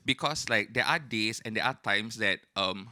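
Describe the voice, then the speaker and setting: A man's voice: fairly low pitch, moderate pace, pushed and bright, no room sound, clean studio sound. A young man with a Singaporean accent chatting candidly and emphatically as a podcast co-host. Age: young adult. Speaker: podcast co-host, indie content creator. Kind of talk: casual podcast chat.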